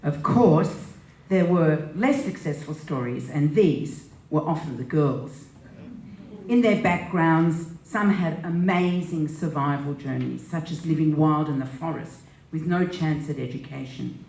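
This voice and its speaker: A woman reads scripted narration on stage. Her voice is deep and gravelly, her pace slow, and the room echoes around her. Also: darkish tone. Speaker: stage reader. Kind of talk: dramatic reading, scripted narration. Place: live stage reading indoors. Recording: roomy, echoing stage sound.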